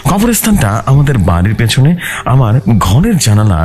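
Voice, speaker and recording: A man's voice: mid-range voice, wildly swooping pitch, normal speed, darkish tone. A man narrating a scripted first-person ghost story.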